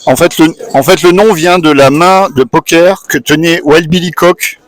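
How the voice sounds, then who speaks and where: A man who writes books, explaining his work briskly in a casual interview. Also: fairly high pitch, fairly even intonation, low-quality recording. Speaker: author. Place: convention hall.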